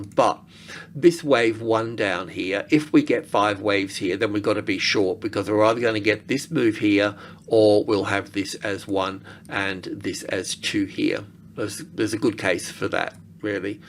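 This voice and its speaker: Low-voiced man over background noise. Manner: halting, thinking aloud. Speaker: market analyst presenter. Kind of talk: informal technical chart commentary.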